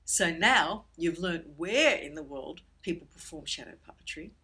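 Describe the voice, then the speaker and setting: A woman guides children through a creative lesson with theatrical flair. Her pitch swings widely as she explains each step. The voice has a slightly husky grain. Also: moderate pace. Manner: theatrical, expressive storytelling delivery. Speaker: puppetry workshop presenter for children. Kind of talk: instructional presentation for children.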